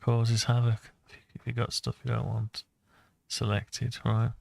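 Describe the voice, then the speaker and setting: A man talks himself through a software task in a very creaky, gravelly voice. His delivery is slow and flat, muttered as he thinks aloud. The sound is dry and close, like an informal hobby tutorial. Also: medium pitch, dry recording. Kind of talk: unscripted screen-recorded software walkthrough.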